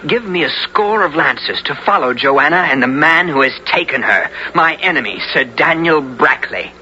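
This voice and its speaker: An actor playing a brave, loyal young squire who both narrates and speaks in a radio drama, in earnest, formal, archaic language. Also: male voice, fairly high pitch, lively intonation, normal speed, bright, forceful tone, a little hiss or hum. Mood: downcast, determined. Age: young adult. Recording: muffled old radio broadcast with music.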